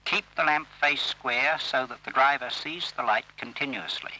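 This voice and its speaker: A man narrates an old instructional film in a very bright, crisp-edged and forceful voice. His delivery is formal and measured, setting out each instruction as scripted. It has the sound of a vintage training film soundtrack. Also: medium pitch, rough recording. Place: voice-over recorded for film.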